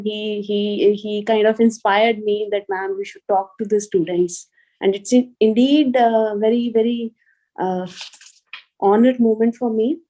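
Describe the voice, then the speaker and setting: A middle-aged Indian woman speaks to students as a guest. Her voice is soft-edged and mellow, sitting a little high. She talks in a hesitant, reflective way, doubling back on her words. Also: low-quality recording. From rural Punjab, India. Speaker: guest speaker, police officer and poet. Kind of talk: informal address to an online student session.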